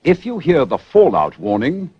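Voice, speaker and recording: A British man reading a 1970s public information film script in a measured, formal, instructional way. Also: fairly high pitch, huge pitch swings, husky. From United Kingdom. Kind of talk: scripted narration, 1970s civil defence announcement. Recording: dull, band-limited 1970s film soundtrack.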